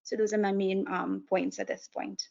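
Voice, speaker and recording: A woman giving a formal webinar presentation as a panelist, measured, with frequent fillers and restarts. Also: mid-range voice, level tone, vocal fry, darkish tone. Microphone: video call. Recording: dull video call audio.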